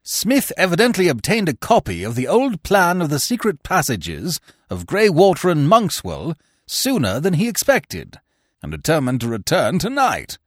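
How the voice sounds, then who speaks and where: A man reading aloud voices a hot-tempered older gentleman who roars and talks emphatically. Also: fairly high pitch, huge pitch swings, normal speed, dry recording, studio quality, pitched above the performer's own voice. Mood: urgent. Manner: loud, emphatic, roaring.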